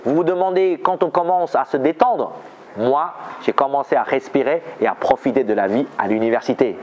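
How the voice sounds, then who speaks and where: A man's voice: medium pitch, brisk, darkish tone, highs cut off, slight background noise. A man from China, a native Chinese speaker, presenting and interviewing in a scripted style. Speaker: host and interviewer.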